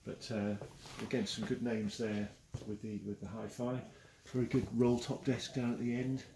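Chatty English auctioneer with a gravelly voice. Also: male voice, mid-range voice, level tone, moderate pace, warm tone. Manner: chatty and enthusiastic, chuckling often. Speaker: auctioneer giving a saleroom tour. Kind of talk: casual walk-through commentary. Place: large cluttered indoor auction saleroom. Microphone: handheld camera following him. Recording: noisy saleroom, walking camera mic.

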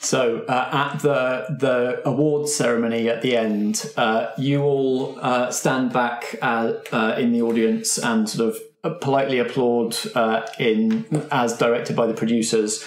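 A man hosting and narrating a tabletop roleplaying game, piecing scenes together haltingly. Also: medium pitch, fairly even intonation. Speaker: host and game master. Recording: roomy, echoing recording.

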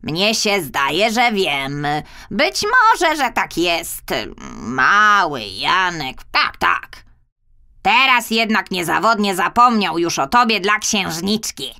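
Loud, kindly storybook crow. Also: female voice, medium pitch, very animated, heavily acted. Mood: hesitant, friendly. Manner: repeats words, slow and cawing, emphatic. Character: kindly, hesitant grey female crow. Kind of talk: children's audiobook character acting.

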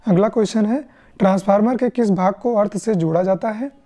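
A woman's voice: medium pitch, fairly quick, dark tone. A woman with an Indian accent teaching exam questions step by step, explaining and often checking in with short tag questions. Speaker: electrician exam tutor. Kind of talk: question-and-answer lesson explanation.

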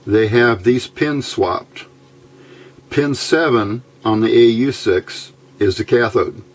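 A man giving a casual technical tutorial in a plain-spoken, methodical way, with hesitant pauses, as an electronics hobbyist at a workbench. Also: mid-range voice, breathy edge. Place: indoors at a workbench.

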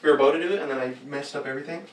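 A man's voice: a little high, racing, a little hiss or hum. Giggly young man in an echoey room. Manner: giggly and self-mocking, chatty. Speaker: cosplayer filming fighting-game moves. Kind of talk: casual chat while acting out moves. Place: green screen room indoors. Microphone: far camera mic. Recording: echoey room, mic set far off.

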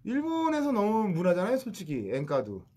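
A male radio host with a fairly high, very animated voice, chatting in a loose, joking way. He keeps pushing his guest with playful questions. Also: a little fast. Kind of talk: casual radio chat.

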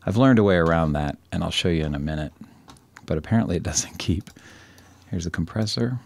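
Low-voiced, gravelly man giving a tutorial. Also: lively intonation, fairly quick. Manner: informal, thinking aloud, self-deprecating. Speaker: audio software tutorial presenter. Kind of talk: casual screen-recorded tutorial.

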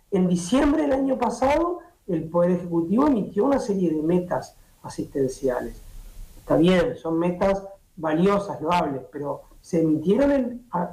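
A man's voice: high-pitched, dark tone. A middle-aged Uruguayan man, a doctor, explaining at length as a guest over a video call, with many restarts and fillers. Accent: Uruguayan Spanish. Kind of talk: interview on a streaming show. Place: indoor home office. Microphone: laptop or webcam on a video call. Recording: echoey video-call audio.

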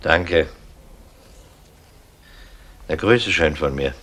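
A man's voice: a bass voice, extremely slow, a bit bright, heavily acted. An actor playing a wry, teasing male police colleague in a German radio crime drama. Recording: faintly noisy old radio play recording.

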